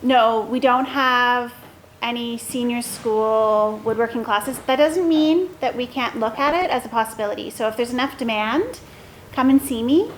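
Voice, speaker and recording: Higher-pitched woman addressing a school assembly. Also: moderate pace, slight background noise, poor audio. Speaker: school staff member leading the gathering. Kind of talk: explaining course offerings to a school assembly.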